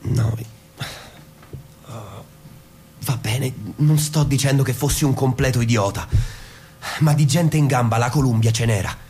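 An actor playing a self-doubting suburban husband in a radio drama, defensive and halting as he puts himself down. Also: mid-range voice, darkish tone, a little hiss or hum, close to an everyday voice. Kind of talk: stage-style radio drama dialogue.

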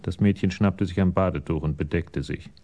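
An actor as the narrator of a crime radio play, reading in a measured, detached manner. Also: male voice, sits low, mellow.

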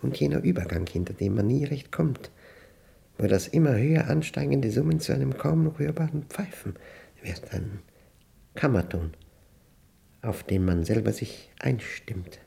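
Older male for radio drama monologue: solitary brooder, dark mellow tone, husky, halting and deliberate. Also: mid-range voice, expressive, full character voice. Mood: rapt, contemplative. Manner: halting, deliberate, introspective phrasing. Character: aging, solitary man brooding over a humming machine.

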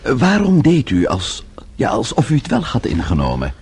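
An actor playing an anxious, impatient young husband with a hot temper, in a radio play. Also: male voice, medium pitch, huge pitch swings, moderate pace, darkish tone, breathy edge, full character voice. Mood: puzzled. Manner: impatient, challenging.

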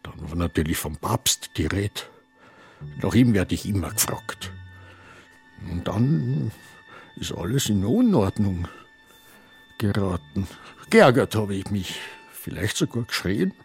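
Confused, slow-talking old man. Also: lowish voice, sing-song, creaky, slightly rough, soft background music, heavily acted. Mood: rambling, unsettled. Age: older. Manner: halting, rambling, querulous. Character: confused, forgetful old man, former filmmaker, easily indignant. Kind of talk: radio drama acting.

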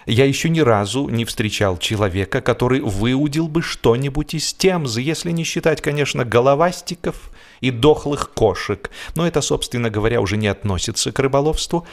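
A man reads a humorous literary narration with expressive, wryly ironic storytelling, as in an audiobook. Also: medium pitch, fairly quick. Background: music.

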